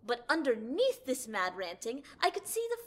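A woman's voice: fairly high pitch, wildly swooping pitch, normal speed, a bit bright, slight background noise. A woman voicing a pompous, grandly self-assured boy scholar in an audio drama, theatrical and formal. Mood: lecturing.

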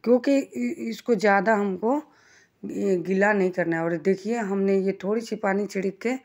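A woman with a voice of ordinary, middle pitch hosts a home cooking channel. She talks in a homely, chatty way, explaining each step as she works at the stove. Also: normal speed, a little mellow. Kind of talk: casual step-by-step cooking instructions.

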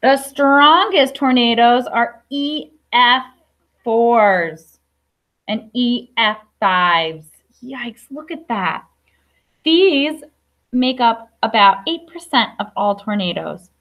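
A woman's voice: fairly high pitch, expressive, slow pace, muffled recording. A young adult woman teacher reading a picture book aloud to young children, explaining simply with questions and asides. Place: classroom.

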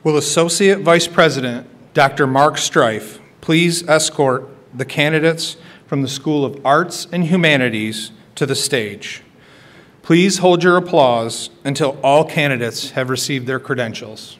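A middle-aged man giving a formal, scripted ceremony address as a college administrator, measured in delivery, at a podium microphone on an auditorium stage. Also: a little high, fairly bright tone. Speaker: college administrator presiding at graduation.

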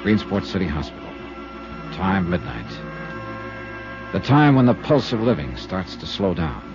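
A man's voice: deep voice, sing-song, a little slow. An actor playing a terse, world-weary male insurance investigator who also narrates, in 1950s radio drama style. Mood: brooding. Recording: muffled old radio broadcast with music.

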